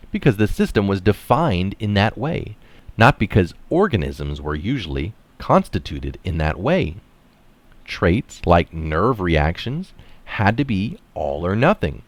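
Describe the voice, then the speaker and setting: A man with a low voice reads scholarly writing aloud. His phrasing is deliberate and emphatic, with pitch that moves widely. The sound is dry and close. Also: dry recording. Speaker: article reader and commentator. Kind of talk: reading a science article aloud, with brief casual commentary.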